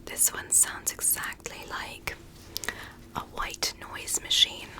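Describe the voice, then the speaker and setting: A woman whispering softly and breathily in a gentle, reassuring ASMR roleplay as a doctor giving an exam. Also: slow, poor audio. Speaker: ASMR performer playing an ear doctor.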